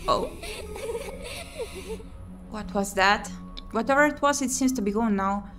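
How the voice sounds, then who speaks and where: A woman's voice: a little high, a little slow, a bit bright. A woman streamer playing a visual novel, reading the story text aloud expressively and adding her own asides. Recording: music behind the voice. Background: game music.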